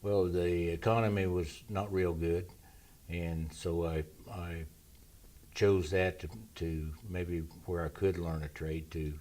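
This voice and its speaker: An older man from Indiana, a military veteran slowly recalling his youth in an interview with his grandson. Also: sits low, fairly even intonation, warm tone. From Indiana, USA. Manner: slow and measured, pauses to recall. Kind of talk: relaxed reminiscing interview.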